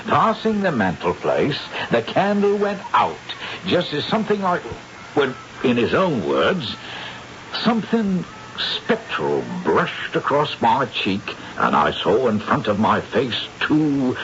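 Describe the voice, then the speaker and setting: An actor playing a chatty, deferential, down-to-earth British police superintendent in a 1970s radio drama, with a folksy, emphatic manner. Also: male voice, medium pitch, huge pitch swings, slow, fairly bright tone, exaggerated voice. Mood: confiding. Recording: dull, noisy vintage radio recording.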